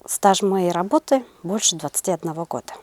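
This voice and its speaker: A middle-aged woman answering interview questions in a measured, halting way, searching for her words as a teacher. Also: lowish voice, expressive, darkish tone, faint music behind. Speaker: interview guest, senior kindergarten teacher.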